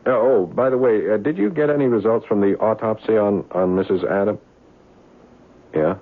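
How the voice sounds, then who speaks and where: A man acting a worried, level-headed husband in an old radio play, reassuring his wife in a deep voice. He stammers a little as he reasons things out, and the muffled broadcast sound dulls him. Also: moderate pace, natural voice. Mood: tense, explaining. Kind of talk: 1970s radio drama acting.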